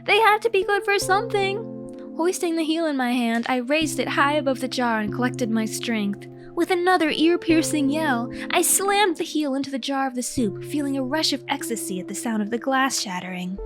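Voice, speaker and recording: High-pitched woman, theatrical comic storyteller. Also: lively intonation, normal speed, music in the background. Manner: theatrical, mock-heroic. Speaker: storyteller narrating own comic diary. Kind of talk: dramatic comedic scripted narration.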